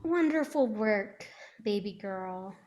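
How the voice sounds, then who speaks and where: A woman's voice: mid-range voice, expressive, takes it slowly, a little mellow, lo-fi sound. A woman playing a protective mother in a dramatic script reading. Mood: strained sweetness. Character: protective, stern mother, sweet to her child.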